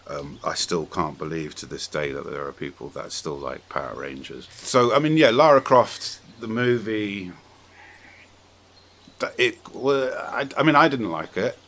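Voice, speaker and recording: A man hosting a casual podcast discussion about films, giving blunt opinions in halting, hesitant phrases. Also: deep voice, lively intonation, a bit bright. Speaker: podcast host.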